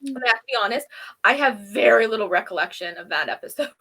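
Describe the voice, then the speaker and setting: A woman with a somewhat high-pitched voice talks as co-host of an informal review show. She rambles in a friendly back-and-forth style, with a giggly, conversational manner. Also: lively intonation, fast, pushed and bright. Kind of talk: casual chatty review between friends.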